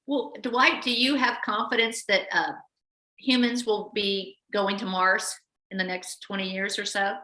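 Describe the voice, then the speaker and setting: A woman hosting a casual interview, speaking haltingly and repeating words as she thinks. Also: mid-range voice, steady tone, bright, forceful tone, echoey room, rough recording. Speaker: host.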